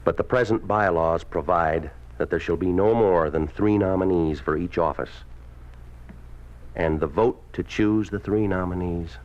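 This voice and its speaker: A domineering, ruthless party boss in an old radio drama. The voice sits low and gives curt, hectoring orders. The recording is dull and muffled, as from 1950s radio. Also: male voice, unhurried, darkish tone. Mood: condescending. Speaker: lead actor in a radio drama.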